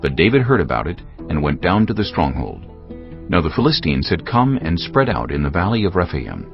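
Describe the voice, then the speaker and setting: A man narrating scripture in a solemn, measured storytelling style, with a gravelly voice. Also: low-pitched, sing-song. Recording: dull, lo-fi audio. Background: faint music.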